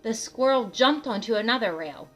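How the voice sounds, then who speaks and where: A woman reading a picture book aloud to children in an animated way, adding asides and questions to the listeners. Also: a little high, moderate pace, soft background music. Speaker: read-aloud host for children.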